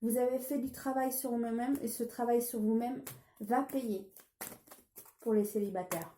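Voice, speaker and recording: A woman's voice: fairly high pitch, steady tone, measured pace, mellow, echoey room, slight background noise. French-speaking woman reading tarot, with a cold. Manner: hesitant, many fillers and restarts. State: has a cold. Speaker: tarot card reader. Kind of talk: casual tarot reading vlog.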